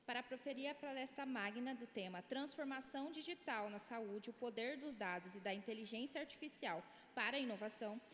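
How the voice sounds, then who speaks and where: A woman hosting an event reads a formal introduction from a script, with an occasional slip. Her voice sits fairly high. The recording is echoey, with a long hall reverb and faint room ambience. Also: a bit bright, a little hiss or hum. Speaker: event host introducing the speaker. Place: large auditorium.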